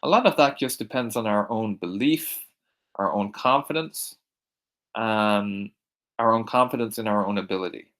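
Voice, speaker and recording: A man from Ireland with an American-sounding accent teaching English vocabulary, repeating each word slowly and clearly for learners. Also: on the low side, a bit bright. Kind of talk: recorded vocabulary lesson.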